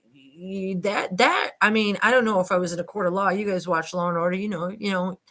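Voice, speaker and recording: A woman's voice: mid-range voice, fairly quick, pushed and bright. A woman reading a transcript aloud and commenting informally, addressing listeners directly with skeptical asides. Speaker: online commentator and host.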